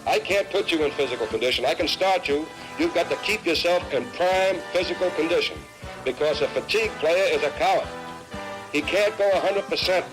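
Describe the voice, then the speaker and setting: A coach, a man with a fairly high voice, lectures players over a clear music bed. His delivery is stern and emphatic, built on short maxims. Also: fairly quick, low-quality recording. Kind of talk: motivational speech to players.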